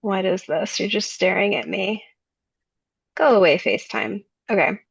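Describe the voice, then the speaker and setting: A woman's voice: mid-range voice, normal speed, gravelly, breathy edge, no echo. A woman in her thirties who grew up in Maine, presenting a design workshop over a video call with frequent ums and uhs. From Maine, United States. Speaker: design lecturer and workshop facilitator. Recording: dull, dry video call audio.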